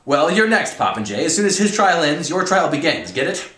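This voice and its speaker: Man acting out a furious shouting colonel, high-strained. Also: very animated, brisk, roomy sound. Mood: enraged, threatening. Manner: shouting, exasperated, badgering interrogator. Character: furious, bullying, big military colonel barking at cadets.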